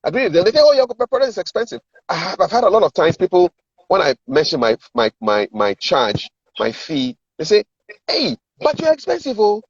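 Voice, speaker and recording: Man, middle-aged, Ghanaian accent, raspy voice, very varied intonation, conversational coaching, dull lo-fi recording. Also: high-pitched, sing-song, brisk. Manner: explanatory, acts out sample dialogue, repeats words for emphasis. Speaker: communications and sales coach giving advice. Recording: roomy echo, dull lo-fi sound.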